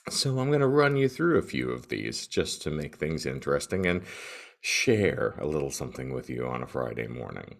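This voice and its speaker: A man with a gravelly morning voice hosting a solo podcast, talking and reading aloud in a wordy, florid, tongue-in-cheek manner. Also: very deep voice, very animated, normal speed. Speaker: podcast co-host. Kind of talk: solo podcast monologue, comic reading of reviews.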